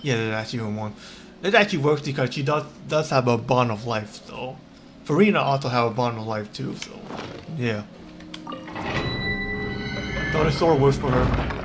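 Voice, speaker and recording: A man chatting casually on a livestream while he plays a game, rambling in a halting, repetitive way. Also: mid-range voice. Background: game music.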